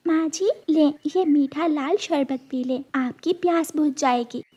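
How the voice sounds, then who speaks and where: Very high, pleading little girl bird. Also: measured pace, dark tone, muffled recording. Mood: eager, kind.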